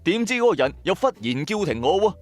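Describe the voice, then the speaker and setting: A man with a Hong Kong Cantonese accent telling a first-person story in an expressive, colloquial way, with chatty asides to the listener. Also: high voice, fairly quick. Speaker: storyteller, narrator. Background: background music.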